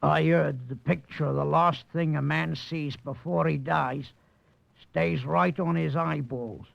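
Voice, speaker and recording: A cold-blooded murderer from a fifties radio crime play. The voice is very creaky and fairly high, drawling slowly and callously. The old recording is muffled and dull. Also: male voice, fairly even intonation, dry recording. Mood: grim, callous. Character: callous male murderer confessing a grisly killing. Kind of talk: 1950s radio drama acting.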